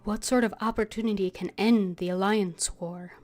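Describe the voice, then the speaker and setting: A woman game streamer casually reading game text aloud and muttering low-key asides. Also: steady tone, normal speed, darkish tone. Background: game music, keyboard typing.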